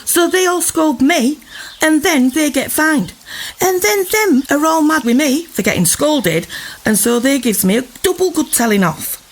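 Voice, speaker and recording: A woman's voice: high-pitched, expressive, unhurried, low-quality recording. A woman playing an indignant, gossipy scolding wife and mother in old-time York, with a Yorkshire accent, in a comic audio drama. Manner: outraged, gossipy, emphatic complaining.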